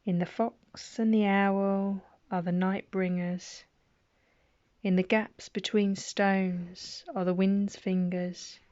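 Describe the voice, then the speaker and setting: A woman's voice: mid-range voice, level tone, takes it slowly, darkish tone, no echo, highs cut off. A middle-aged woman reading children's poems aloud at bedtime, with playful, chatty asides between the poems.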